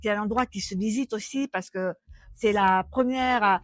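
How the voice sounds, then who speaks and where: A woman's voice: medium pitch, normal speed, no echo, clean studio sound. A woman from Rome, a native Italian speaker, chatting in a familiar, anecdotal way as she conducts a literary interview. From Rome, Italy.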